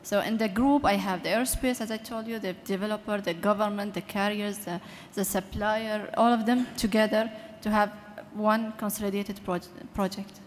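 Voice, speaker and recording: A woman's voice: medium pitch, steady tone. Woman speaking in a reverberant hall. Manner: earnest, halting with frequent restarts. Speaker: panelist, aviation environment official. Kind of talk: conference panel answer. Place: large conference hall. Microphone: PA microphone. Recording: reverberant hall with faint noise.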